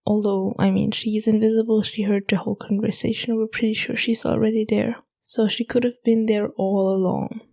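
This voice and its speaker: A young woman, hosting a podcast and chatting casually about a book in a hushed half-whisper, late at night at home. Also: on the high side, vocal fry. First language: German. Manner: hushed, half-whispered talk to avoid waking roommates. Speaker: podcast host.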